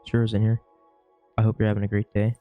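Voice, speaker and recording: A sick young man with a worn, gravelly voice, rambling casually through a vlog with lots of fillers and restarts. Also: on the low side, completely flat delivery, quick pace, narrow, tinny audio, faint music behind. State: sick, losing his voice. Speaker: vlogger; shift manager at work. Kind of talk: casual talking-to-camera vlog.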